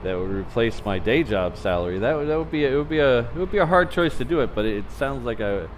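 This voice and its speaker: A man's voice: medium pitch, lively intonation, quick pace. A man hosting a live plane-spotting stream outdoors, rambling in a laid-back, chatty way. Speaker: livestream host, plane spotter. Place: outdoors near an airport runway. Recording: outdoor traffic noise. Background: road traffic.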